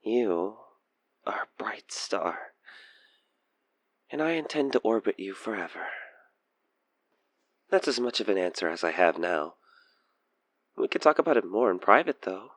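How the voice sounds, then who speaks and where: A man acting an obsessed, possessive stalker killer in an audio roleplay, teasing, coaxing and menacing, with breathy, intimate delivery. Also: fairly low pitch, expressive, a little slow, long pauses, fairly bright tone, no room sound. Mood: infatuated, intimate. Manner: theatrical, teasing, intimate, dropping into breathy near-whispers. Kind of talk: audio roleplay monologue spoken to the listener over a phone call.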